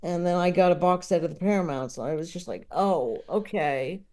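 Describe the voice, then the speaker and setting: Mellow-voiced man, thinking aloud. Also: high voice, fairly quick. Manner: hesitant, thinking aloud, analytical. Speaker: podcast guest, comedy enthusiast. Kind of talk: casual opinionated film chat.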